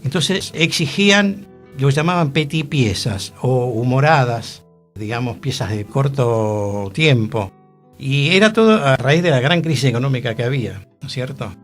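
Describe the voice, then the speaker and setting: A man's voice: medium pitch, expressive, moderate pace, fairly bright tone, soft background music. An older man with a Rioplatense accent hosting a radio show, explaining theater history with frequent tag questions. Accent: Rioplatense Spanish. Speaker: radio host.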